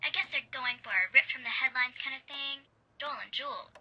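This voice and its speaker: An actress playing a guarded, loyal Hollywood assistant over a telephone in a radio drama, reluctant and wary. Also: female voice, high-pitched, fairly quick, pushed and bright, husky, exaggerated voice. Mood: confiding. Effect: telephone filter. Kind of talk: old-time radio drama acting. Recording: thin, noisy telephone line.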